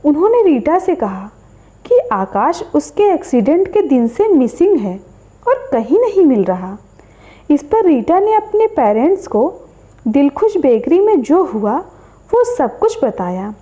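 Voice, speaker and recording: A woman narrating a scripted horror story as a storyteller, with an expressive delivery. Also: high voice, a little slow.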